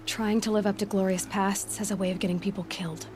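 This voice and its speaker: An actress playing a determined young huntress who speaks in a direct, practical and sceptical way. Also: female voice, mid-range voice, level tone, fast talker, darkish tone, faint music behind, close to an everyday voice.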